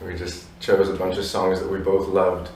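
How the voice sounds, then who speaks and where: A man in his thirties with Polish roots, a musician answering interview questions in a relaxed, reflective way with many "you know"s. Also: low-pitched, moderate pace, slight background noise. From abroad, Polish parents. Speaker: musician guest. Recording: roomy echo from a distance.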